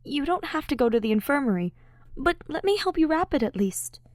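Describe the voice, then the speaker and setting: A woman voicing a gentle, earnest teenage boy with an eager, expressive manner in a fan fiction audiobook. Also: high voice, a little fast, dry recording, studio quality, pitched above the performer's own voice. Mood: concerned. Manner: soft-hearted, eager, expressive.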